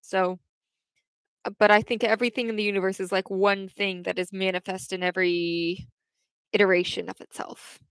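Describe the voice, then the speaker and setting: A young woman talks casually to her livestream chat, wandering through her thoughts with frequent restarts. Her voice is smooth and resonant, and it is recorded close and dry, with no room sound. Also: mid-range voice, flat delivery, normal speed, no echo. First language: English.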